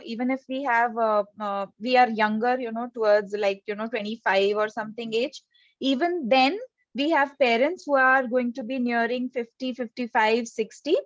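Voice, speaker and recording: High-voiced woman explaining on a call. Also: dry recording, lo-fi sound. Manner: explanatory, many fillers and hesitations. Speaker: presenter of an insurance scheme. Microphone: video call.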